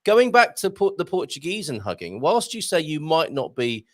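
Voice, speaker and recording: A man hosts a live question show with real enthusiasm. His pitch swings widely, and he stumbles over repeated words as he reads out viewer questions. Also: big pitch swings, moderate pace. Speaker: show host.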